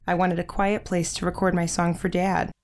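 An actress playing a shy, kind, earnest young sister in a modern audio drama, speaking gently. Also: female voice, lowish voice, little pitch movement, moderate pace, a little hiss or hum, close to an everyday voice. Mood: casual, shy.

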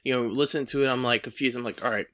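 A man's voice: mid-range voice, steady tone, rapid-fire, pushed and bright. A man hosting a podcast interview, rambling and hesitant, with lots of fillers. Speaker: podcast host. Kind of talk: casual interview chat.

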